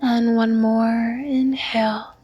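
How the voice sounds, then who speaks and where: Slow, soft woman guiding a meditation. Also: on the high side, little pitch movement, lo-fi sound, soft background music. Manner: soft, breathy, soothing, unhurried delivery. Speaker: meditation guide. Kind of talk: guided yoga nidra meditation narration.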